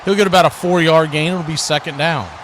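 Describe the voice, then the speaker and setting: A man's voice: a little high, steady tone, fairly quick, fairly bright tone. A man doing live radio play-by-play commentary of a football game as the announcer. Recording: clearly noisy live broadcast.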